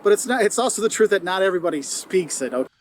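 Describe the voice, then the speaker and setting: A man's voice: high-pitched, animated, rapid-fire, a little hiss or hum. A man from the United States talking to viewers in a vlog, giving upbeat, direct tips. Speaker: video creator giving tips.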